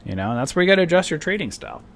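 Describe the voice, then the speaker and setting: A man talking casually through stock charts for his viewers, rambling with lots of fillers. His voice feels a little off today, and he coughs and clears his throat now and then. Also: fairly high pitch, big pitch swings, racing. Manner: rambling, chatty, many fillers like "you know" and "right". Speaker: stock trading streamer. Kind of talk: casual market commentary to viewers.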